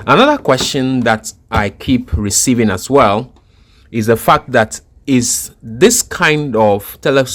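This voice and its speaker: A man giving an unscripted, explanatory tech tutorial as an instructor, methodical and repeating his points for emphasis. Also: lowish voice.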